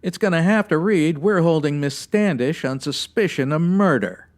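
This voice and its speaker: A man's voice: fairly high pitch, expressive, moderate pace, close to an everyday voice. A man playing a weary, firm, businesslike police lieutenant in a noir radio drama. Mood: grim.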